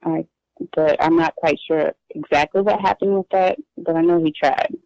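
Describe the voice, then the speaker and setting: Hesitant woman with gravelly voice. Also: deep voice, fairly even intonation, a little mellow, dry recording. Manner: hesitant, halting with restarts. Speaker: adoptee guest. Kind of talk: emotional personal interview. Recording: dull lo-fi dry recording.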